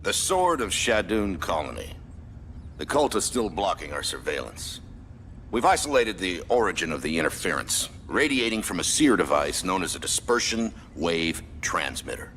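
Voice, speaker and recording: A man playing a stern, authoritative military commander giving formal, crisp mission briefings in a video game. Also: sits low, big pitch swings, moderate pace, fairly bright tone, a little hiss or hum, heavily acted.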